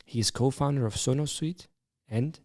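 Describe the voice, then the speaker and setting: A man hosting a podcast, reading a measured prepared introduction and asking interview questions. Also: mid-range voice, creaky, darkish tone. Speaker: podcast host.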